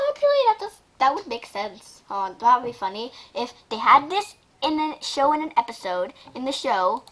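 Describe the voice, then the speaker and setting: A woman casually reading fan fiction aloud and reacting to it, excitable and informal, often breaking into giggles. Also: high-pitched, normal speed, slight background noise. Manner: giggly, often breaking into laughter while talking. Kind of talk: casual reaction reading of a fanfic, mixing story and commentary.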